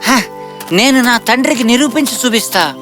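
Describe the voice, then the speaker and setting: A man voicing a naive young prince in an animated fairy tale, boyish, earnest and a little petulant. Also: extremely high pitch, normal speed, faint music behind, exaggerated voice. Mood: defiant.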